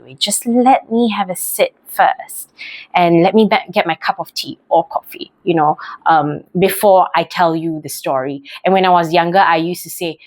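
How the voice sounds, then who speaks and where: Low-voiced Malaysian woman telling her story. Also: no echo. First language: English. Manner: chatty, rambling, self-correcting. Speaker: vlogger. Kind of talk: casual personal storytelling.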